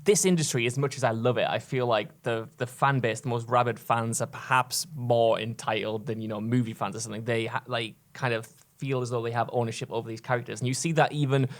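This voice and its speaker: A man's voice: mid-range voice, steady tone, a little fast. A man co-hosting a podcast, explaining his points at length in a loose, conversational way. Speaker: podcast co-host. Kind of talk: casual panel discussion.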